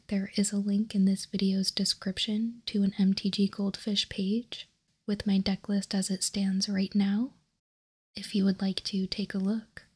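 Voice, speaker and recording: A woman whispers an ASMR explanation close to the microphone. Her delivery is slow and very even, with a soft, mellow, breathy texture. She sounds calm and measured throughout. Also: medium pitch. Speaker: host of a card game ASMR channel.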